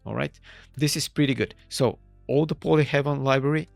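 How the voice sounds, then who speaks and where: A man from Bulgaria, a native Bulgarian speaker, walking through a software tool step by step in a relaxed tutorial style with slightly non-native phrasing. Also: medium pitch, fairly quick, vocal fry, no room sound, soft background music. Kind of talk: screen-recorded software tutorial.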